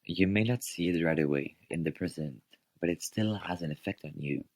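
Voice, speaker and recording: A young man, a student, making his points in a scripted radio debate with formal, measured wording. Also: low-pitched, a little mellow, no room sound, rough recording. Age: young adult.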